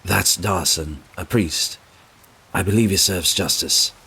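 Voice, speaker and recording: An actor playing a loyal, measured royal guard captain in a fantasy audio drama. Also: male voice, sits low, vocal fry, bright, forceful tone, slightly rough, heavily acted, low-quality recording. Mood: formal.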